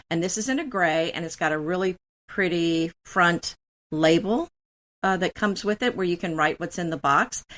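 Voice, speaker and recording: A woman's voice: on the low side, a bit bright. A woman co-hosting a casual home decor chat, describing finds in a friendly, conversational way. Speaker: co-host.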